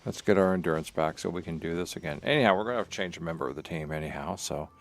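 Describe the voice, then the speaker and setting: A man's voice: sits low, very fast. A man hosting a livestream, casually commentating and half talking to himself as he plays a video game. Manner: rambling, talks to himself while playing. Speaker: livestream host. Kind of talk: casual gaming stream commentary. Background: game music.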